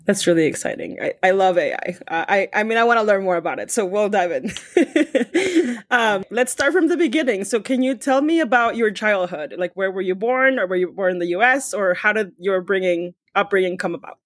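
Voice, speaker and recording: A woman's voice: mid-range voice, a little fast, no echo, clean studio sound. A woman hosting a remote podcast interview in a casual, chatty way, often restarting words and leaning on fillers. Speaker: podcast host. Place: remote call, not in a shared studio.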